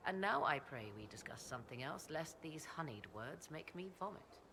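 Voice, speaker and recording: A woman's voice: low-pitched, lively intonation, normal speed, bright, forceful tone, slight background noise, exaggerated voice. A haughty, archaic-spoken witch. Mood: aloof. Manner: formal, archaic diction, haughty and dry. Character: proud, aloof female witch and mother. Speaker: witch companion in a fantasy game. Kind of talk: video game cutscene dialogue.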